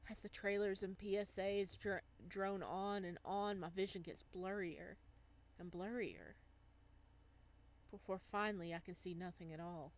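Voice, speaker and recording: A lonely young ghost tells of his afterlife in a visual novel, played by a woman in her natural register. He is wistful and resigned, with a mid-pitched voice. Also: fairly even intonation, measured pace, close to an everyday voice. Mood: weary, subdued. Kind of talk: visual novel read aloud.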